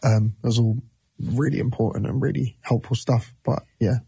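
Male musician guest, 30s, husky mellow voice, dry close sound, rambling casual delivery. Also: mid-range voice, normal speed, no echo. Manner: relaxed, rambling, with many fillers and restarts. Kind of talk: casual interview talk.

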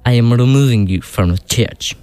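An actor playing a gentle-hearted Russian man in his fifties, with a Russian accent and stiff broken English, in a radio comedy. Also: mid-range voice, normal speed, clean tone, no echo, close to an everyday voice. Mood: stiff, earnest. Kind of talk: comic radio play acting.

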